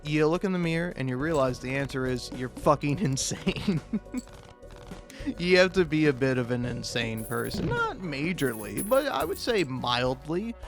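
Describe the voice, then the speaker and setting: A man improvising a self-deprecating comic monologue for a gaming video, rambling and halting, often interrupting himself and swearing casually. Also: on the high side, animated, soft background music. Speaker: video game YouTuber.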